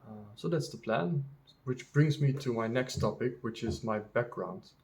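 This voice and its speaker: A Dutch man in his thirties, a native Dutch speaker talking in English, vlogging in a casual unscripted monologue full of ums, pauses and restarts. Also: medium pitch, gravelly, darkish tone. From Netherlands. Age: 30s. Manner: halting, many ums and restarts.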